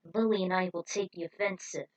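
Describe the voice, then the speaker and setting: A woman's voice: fairly low pitch, fairly even intonation, a little fast, darkish tone, distant mic, lightly acted, rough recording. A woman voicing a decisive space squad leader in an adventure story, firm and authoritative. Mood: resolute.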